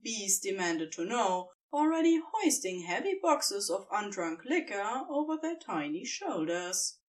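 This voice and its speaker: Slow, very expressive woman narrator. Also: medium pitch, far from the mic. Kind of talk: expressive scripted fan fiction narration.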